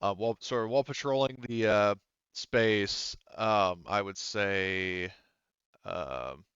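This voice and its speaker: A man narrating a tabletop game as game master in a casual, halting, rambling, conversational way. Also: fairly low pitch, a bit bright, smooth, dry recording, lo-fi sound.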